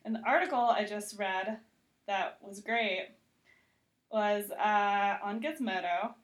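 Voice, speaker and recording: A woman's voice: medium pitch, slow pace. Casual chatty woman in an echoey room. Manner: conversational, heavy use of "like", giggly. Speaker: podcast guest, TV critic. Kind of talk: casual podcast chat. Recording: roomy, echoey sound.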